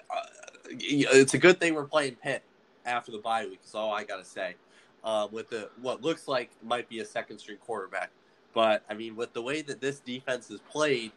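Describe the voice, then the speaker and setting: A man's voice: mid-range voice, fairly bright tone, low-quality recording. Young male student podcast host, loose hesitant sports talk, ordinary mid-range voice. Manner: halting, many fillers and restarts. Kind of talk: casual sports podcast chat.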